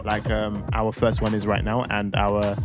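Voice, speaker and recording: A man's voice: fairly low pitch, monotone, unhurried, music in the background. A man co-hosting a casual podcast with his wife, talking with a cold and teasing banter. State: has a cold. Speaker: podcast co-host. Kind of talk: casual chat.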